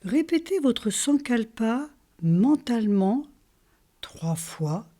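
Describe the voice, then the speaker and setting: A woman leading a guided yoga nidra relaxation as a teacher, calm and soothing, in short phrases with pauses. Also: fairly high pitch. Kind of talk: guided relaxation meditation, instructional.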